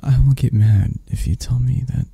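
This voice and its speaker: A man voicing an obsessed, flirty, possessive male kidnapper in a hushed, halting ASMR roleplay. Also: mid-range voice, dry recording. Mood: possessive, menacing. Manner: hushed, halting, breathy-soft delivery.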